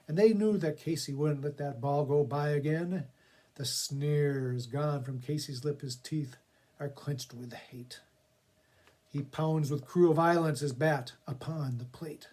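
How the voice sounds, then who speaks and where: A man with a slightly high voice reads for a library audience. He speaks as a theatrical storyteller, giving verse and introductions an expressive, spoken-word feel. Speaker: poetry reader for a public library reading project. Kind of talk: dramatic poetry recitation with casual spoken introduction.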